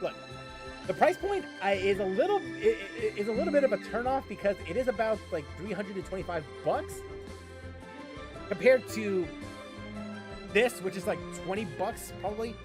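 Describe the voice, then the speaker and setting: A young man with a high, animated voice talks through his gear in a loose, rambling way, stopping and restarting his sentences. Music plays clearly behind him. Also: moderate pace. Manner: rambling, many fillers and restarts. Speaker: gaming channel host. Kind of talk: casual unscripted vlog talk.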